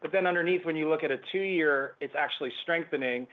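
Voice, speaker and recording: A man answering business questions as a company executive on an earnings call, with halting corporate phrasing. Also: on the high side. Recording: thin phone-like conference line.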